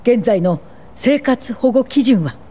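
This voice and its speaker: Mellow, composed female social worker. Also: a little high, slightly rough, exaggerated voice. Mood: firm, formal. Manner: measured, formal, steady. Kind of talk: radio drama narration and acting.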